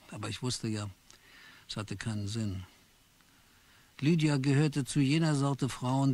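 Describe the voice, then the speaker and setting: A man's voice: medium pitch, a little mellow, lightly acted. A man voicing a weary, bitter office clerk who narrates his own story in a radio play, in a tired, brooding way. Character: weary, put-upon office clerk Charlie. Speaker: narrator and main character.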